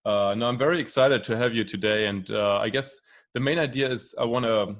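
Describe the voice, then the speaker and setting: A man's voice: mid-range voice, brisk, fairly bright tone. A man hosting a video podcast interview, speaking hesitantly with many fillers and repeated words. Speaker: podcast host.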